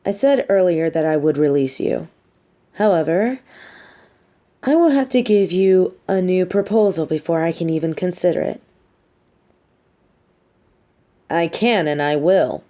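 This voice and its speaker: A woman playing a sardonic, scheming supervillain in a scripted audio roleplay, with a theatrical, measured, wry delivery and grudging sympathy. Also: lowish voice, mellow, natural voice. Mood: coaxing, commanding. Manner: theatrical, measured, wry, drops into muttered asides.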